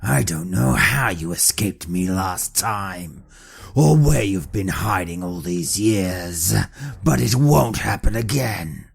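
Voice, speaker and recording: An actor playing a cruel, scarred mage hunter in an audio drama, with a raspy voice and a contemptuous, menacing manner. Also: male voice, low-pitched, animated, slow pace, full character voice. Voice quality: gravelly, raspy.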